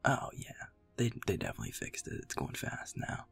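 A man voicing a drowsy, affectionate young werewolf boyfriend in intimate roleplay ASMR, murmuring casually in a husky, gravelly, breathy half-whisper. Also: fairly quick, a bit bright, faint music behind, close to an everyday voice. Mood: relaxed, musing. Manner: drowsy, hesitant, casual mumbling with swearing. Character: sleepy, affectionate, self-deprecating young male werewolf boyfriend.

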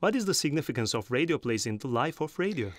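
A man's voice: mid-range voice, very animated, quick pace, dry recording, studio quality. A man with a Turkish accent, presenting formally and politely from a script as a radio host.

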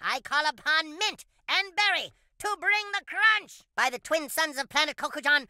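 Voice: high voice, lively intonation, normal speed, pushed and bright, no room sound, clean studio sound, full character voice. An actor voicing an earnest, boastful alien boy superhero in a cartoon video game, speaking in grandiose, theatrical declarations. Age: child character. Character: earnest, boastful boy superhero from another planet, cereal-obsessed.

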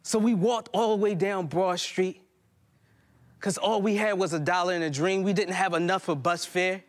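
A man in his thirties performs poetry live on stage in a high voice. His delivery is theatrical and rhythmic, leaning on repetition and dramatic pauses to drive each line. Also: fairly even intonation. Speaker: spoken word poet performing a stage play. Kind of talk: theatrical spoken-word poetry monologue. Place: live stage in a plain hall before a small seated audience.

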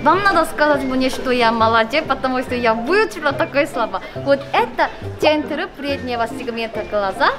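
High-voiced Korean woman vlogging in Russian. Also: normal speed, hardly any pauses. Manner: chatty, playful, expressive. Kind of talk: casual vlog narration. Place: hospital hallways and exam rooms, indoors. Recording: background music and crowd chatter.

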